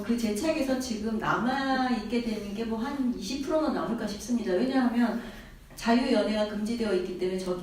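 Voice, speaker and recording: A woman interprets at a book event, racing through her lines with fillers and quick restarts. Her mellow voice sounds far from the mic in a strongly echoing hall, with faint hiss behind. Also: mid-range voice. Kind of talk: interpreting questions and answers.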